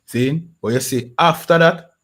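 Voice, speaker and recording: A man's voice: fairly high pitch, measured pace, fairly bright tone. A man with a Jamaican accent hosting a podcast in a casual talk-show style, often saying "you know what I mean". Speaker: podcast host.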